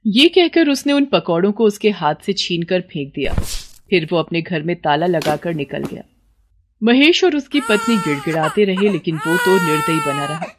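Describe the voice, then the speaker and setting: A woman narrating a scripted children's moral story as a storyteller, expressive and dramatic. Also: fairly low pitch, normal speed, background noise. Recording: loud rain and ambience behind.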